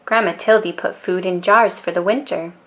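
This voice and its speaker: A woman storyteller narrating a children's bedtime book in a calm, expressive and deliberate way. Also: lowish voice.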